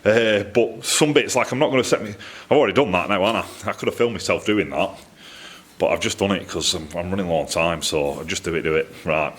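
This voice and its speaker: Low-voiced Northern English man, chatting casually. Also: quick pace. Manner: rambling, self-deprecating, colloquial with mild swearing. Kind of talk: casual chat to camera. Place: metalworking workshop, indoors.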